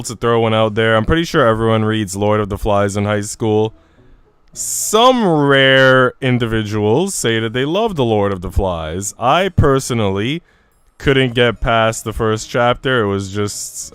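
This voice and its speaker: A man's voice: lowish voice, clear, resonant tone, dry recording. A young adult man, chatting informally and slangily to viewers as a live streamer. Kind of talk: casual livestream chat with viewers. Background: music playing.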